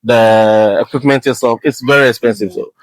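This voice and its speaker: A man from Ghana with a Ghanaian English accent, a guest describing his work as an air traffic controller in a relaxed, rambling way, full of fillers and restarts. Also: medium pitch, moderate pace, dry recording, poor audio. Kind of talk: casual podcast conversation.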